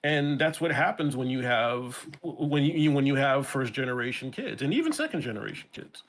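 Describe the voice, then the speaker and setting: A man with an American New York accent, a guest in a casual interview chat, talking in an animated way and often restarting his words. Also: medium pitch, normal speed. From Brooklyn, New York.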